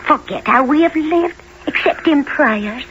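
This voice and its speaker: A woman's voice: high-pitched, animated, measured pace, fairly bright tone. An actress in a radio play voicing a frightened, devoted young woman, earnest and breathless as she pleads. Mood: earnest pleading. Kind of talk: melodramatic radio play acting. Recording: muffled radio-play recording, faint noise.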